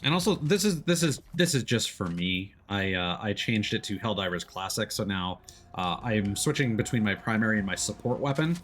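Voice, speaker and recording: A man with a fairly low voice talks through a video game for his stream. He speaks casually and a bit hesitantly, working things out aloud, with game noise clearly audible behind him. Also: lively intonation, moderate pace, fairly bright tone. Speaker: livestreamer, gamer. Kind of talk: casual gameplay commentary. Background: video game sounds.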